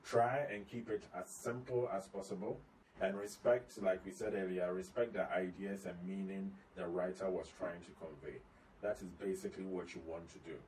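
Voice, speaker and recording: A man, a company head, talking through tips on slides in a relaxed video lesson, repeating key points as he explains. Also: deep voice, normal speed. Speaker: company CEO giving tips. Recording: slightly distant mic, faint ambient noise.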